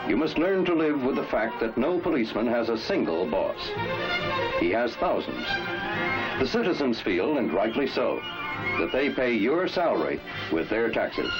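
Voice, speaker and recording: Emphatic male film narrator over loud music. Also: mid-range voice, rough recording. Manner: emphatic, rhetorical, authoritative. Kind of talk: 1960s scripted instructional narration. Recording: old 1960s film soundtrack, loud music.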